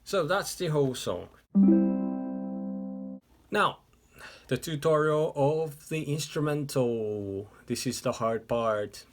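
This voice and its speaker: A man's voice: mid-range voice, music in the background. A man whose first language is Japanese, teaching a ukulele tutorial in English step by step, seated indoors. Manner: slow, step-by-step explaining. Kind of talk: music tutorial instruction. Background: ukulele playing.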